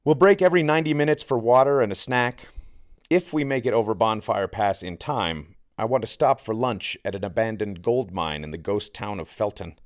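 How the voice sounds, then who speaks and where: A man's voice: fairly low pitch, moderate pace, lightly acted. Man as an earnest, condescending wilderness leader. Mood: instructive. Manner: condescending, instructive.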